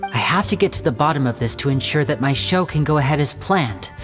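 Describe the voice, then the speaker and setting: An actor playing a polite, proud young stage magician who speaks in a smooth, courteous way. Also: male voice, on the high side, lively intonation, a little fast, natural voice. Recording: reverb with game music.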